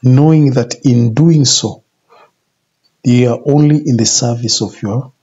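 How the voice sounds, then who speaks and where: A man in his forties preaches informally with a husky, mellow voice. He speaks deliberately and repeats points for emphasis. The sound is a little muffled, like a home video from a small office. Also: medium pitch. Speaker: religious video presenter. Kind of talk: informal sermon, retelling scripture.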